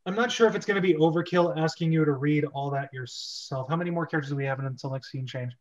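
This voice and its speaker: A man hosting a casual, joking amateur script reading, halting and often correcting himself. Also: on the high side, highs cut off. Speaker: host and script reader.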